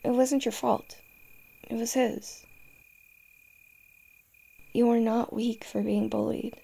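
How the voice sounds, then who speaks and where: A tough, loving young girlfriend, acted by a woman in an audio roleplay, with a fairly high voice and a noticeable creak. She talks straight to the listener, defiant and fiercely protective, in a soft-edged mellow tone. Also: steady tone, takes it slowly, heavily acted. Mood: tender, protective. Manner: tough-talking, speaking directly to listener.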